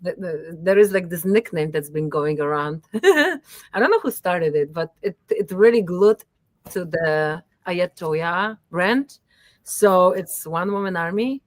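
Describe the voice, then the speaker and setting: A woman whose first language is Polish, talking casually as an interview guest, halting with many fillers. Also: lowish voice, lively intonation, no room sound. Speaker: musician guest.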